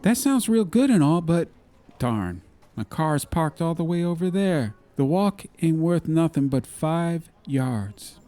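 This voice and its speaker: A man's voice: on the high side, very animated, slow, warm tone, voice pushed up. A man voicing a streetwise young racer who is cool-headed, wry and teasing, in an audiobook story. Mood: wry challenge. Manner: wry, teasing, falsely humble.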